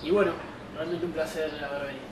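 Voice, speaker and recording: A man's voice: fairly high pitch, steady tone, fast talker. A young man with an Argentine accent, presenting a cooking show off the cuff as its host. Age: young adult. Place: winery kitchen and open-air patio. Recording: echoey, distant mic, noisy ambience.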